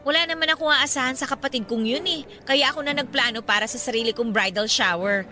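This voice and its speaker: A woman playing a chatty, expressive young bride-to-be and close friend in a Filipino radio drama, speaking colloquially with a Filipino accent. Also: sits high, fast, faint music behind. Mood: matter-of-fact. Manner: animated, exclaiming, colloquial.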